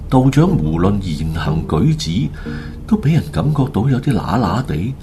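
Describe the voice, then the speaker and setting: A man narrates a comic radio drama in a fairly low voice with animated intonation. He has a Hong Kong Cantonese accent and tells the scripted tale over clear background music.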